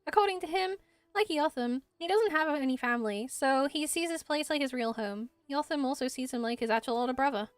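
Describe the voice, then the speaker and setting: A woman's voice: high voice, fairly quick, dry recording, lightly acted. A woman voicing a kind, earnest young female forest patroller who is protective like a big sister, in an amateur game voice-over. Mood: warm, grateful.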